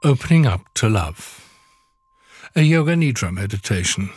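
A man leading a guided yoga nidra meditation, giving soothing instructions slowly with long pauses. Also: lowish voice, very animated, darkish tone, breathy edge, no room sound.

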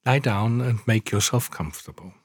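A man guiding a scripted relaxation meditation in a calm, soothing, measured way, leaving long pauses. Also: lowish voice, slightly rough, no room sound, clean studio sound. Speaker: meditation guide, podcast host. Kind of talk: guided yoga nidra relaxation meditation, scripted narration.

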